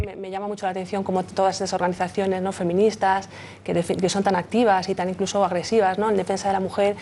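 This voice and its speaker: A woman voices her indignation on a panel in a low, flat, mellow tone. She pauses on fillers as she goes. A faint hiss sits in the background. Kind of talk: studio panel discussion.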